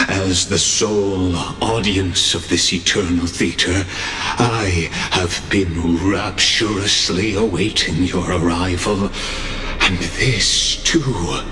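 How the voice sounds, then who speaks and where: A flamboyant, ominous stage narrator from a video game. His voice is low and echoing, and he savors ornate lines with long theatrical pauses. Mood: rapturous, solemn. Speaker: narrator character guiding an audience through memories.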